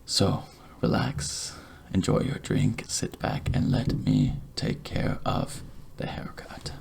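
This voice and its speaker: Hushed, very creaky low-key man doing ASMR. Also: slight background noise. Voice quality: breathy, hushed, half-whispered delivery. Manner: gentle, courteous, unhurried reassurance. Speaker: ASMR creator playing a night-shift barber. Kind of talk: ASMR roleplay, soft-spoken to whispering.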